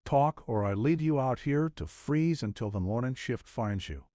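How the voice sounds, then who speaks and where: A man's voice: mid-range voice, lively intonation, moderate pace, warm tone, no echo, lightly acted. A tough ex-cop detective from a noir audiobook, acted by a man. He growls clipped, menacing demands, recorded dry and free of room sound. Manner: growling, clipped threats and interrogation.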